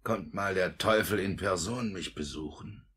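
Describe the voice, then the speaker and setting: A hot-tempered thug of a burglar in an old radio crime play. The voice is lowish, rough and forceful, always gruff and bossy. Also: male voice, unhurried, exaggerated voice. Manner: gruff, domineering, cursing. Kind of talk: 1950s German radio play acting.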